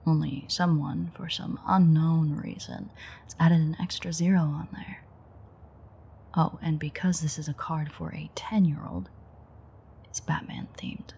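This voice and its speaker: A playful, dangerous vampire woman, performed by an actress murmurs in an intimate audio drama. Her voice is dark and very husky, with a gravelly creak. She teases wryly, often dropping close to a whisper. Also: sits low, steady tone, unhurried, exaggerated voice. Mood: wry, teasing. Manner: teasing, wry, murmured. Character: teasing, playful ancient female vampire, flirtatiously menacing.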